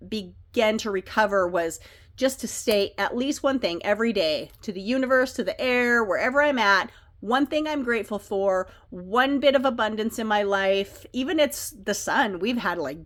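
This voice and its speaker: A woman reading oracle card messages, gentle and earnest, her voice a bit scratchy from a cold. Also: medium pitch. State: slightly scratchy, recovering from a cold.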